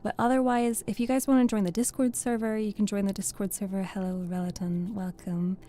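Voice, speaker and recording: A woman's voice: medium pitch, flat delivery, brisk, warm tone. A woman live-streaming as a VTuber and book reader, chatting casually with viewers, thanking them by name and laughing often. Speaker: streamer and VTuber reading host.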